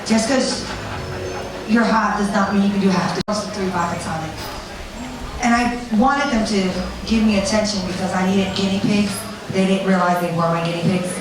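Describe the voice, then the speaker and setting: A woman's voice: medium pitch, moderate pace, a crowd in the background. A woman giving an informal seminar talk, blunt and conversational, telling anecdotes and swearing freely. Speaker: seminar speaker. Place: seminar room with an audience. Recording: very echoey room, distant mic, audience noise.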